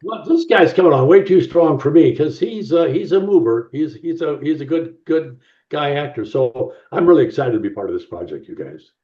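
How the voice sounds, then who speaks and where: A man's voice: on the high side, expressive. A middle-aged man, an actor, chatting casually in an interview and often repeating words as he gets to his point. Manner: halting, repeats words as he talks. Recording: roomy, distant, dull call audio.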